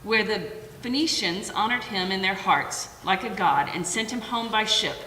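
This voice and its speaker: A woman's voice: mid-range voice, normal speed, pushed and bright. A woman giving a literary narration of an ancient epic from a theatre stage. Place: theatre stage in an arts center. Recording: distant echoey hall, faint noise.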